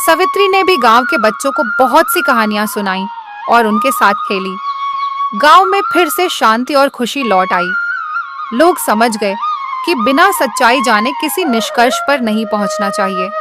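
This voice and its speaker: A woman reading a scripted moral tale as a storyteller. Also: a little high. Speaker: story narrator.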